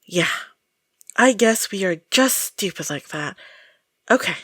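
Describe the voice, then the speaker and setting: A woman playing an exasperated, jumpy friend caught up in a haunted-house outing, sarcastic and expressive, in an audio roleplay. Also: mid-range voice, takes it slowly, breathy edge, dry recording, clean studio sound, full character voice.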